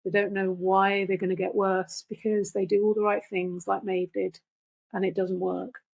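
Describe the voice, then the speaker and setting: An older woman, a podcast guest, talking reflectively and earnestly in deliberate phrases. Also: medium pitch, little pitch movement, vocal fry, a little mellow, breathy edge. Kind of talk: reflective conversational talk.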